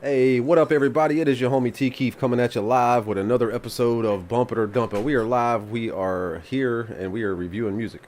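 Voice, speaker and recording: A man's voice: mid-range voice, fairly even intonation, fast, few pauses, darkish tone, a little hiss or hum. A man hosting a live music review stream, congested from the flu, talking in a rambling, laid-back way with casual swearing. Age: adult with children.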